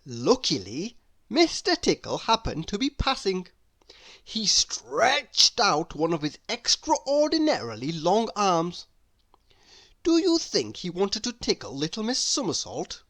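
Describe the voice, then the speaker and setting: Slow, very animated woman storyteller. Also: medium pitch. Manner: addresses young listeners, dramatic pauses. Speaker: storyteller reading aloud. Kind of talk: expressive children's read-aloud.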